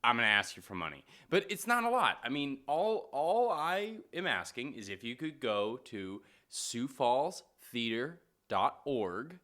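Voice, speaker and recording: A man's voice: mid-range voice, big pitch swings, a little slow, hardly any pauses, bright, forceful tone. A man making a candid, chatty donation appeal for a community theater, loose and casual.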